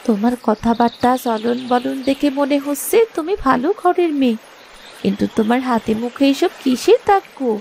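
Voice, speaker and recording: A woman's voice: high-pitched, expressive, moderate pace, vocal fry, darkish tone, slight background noise. A woman voicing a nosy, suspicious village neighbour in a cartoon, chatty and exclaiming. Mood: prying, shocked. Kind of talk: cartoon moral story voice acting.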